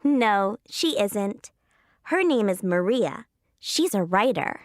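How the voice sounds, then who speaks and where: A woman acting a thirteen-year-old girl tennis player in a scripted textbook dialogue, giving short, slow, clearly spaced answers. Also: medium pitch, animated, no echo, studio quality. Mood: plain. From Canada.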